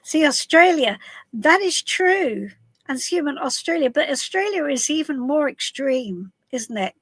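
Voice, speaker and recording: Middle-aged lady, bit husky, high voice, chatty live stream from her camper van, calls everyone darling. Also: big pitch swings, unhurried, no echo. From southwest London. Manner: chatty, warm greetings to viewers, calls people darling and love. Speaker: tarot reader hosting a live stream. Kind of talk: casual live-stream chat.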